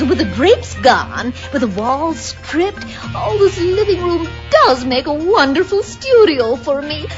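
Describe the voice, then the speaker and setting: High, swooping, self-absorbed artsy wife. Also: female voice, exaggerated voice. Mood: breezy, smug. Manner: airy, condescending, theatrical. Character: self-absorbed, breezy artsy wife scorning her husband. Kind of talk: old-time radio drama acting. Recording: dull lo-fi recording with music.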